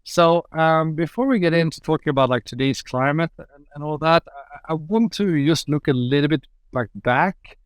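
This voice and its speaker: A man's voice: a little high, normal speed, smooth, no room sound. A man hosting a podcast, enthusiastic and rambling, with lots of fillers. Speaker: podcast host. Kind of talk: casual podcast interview.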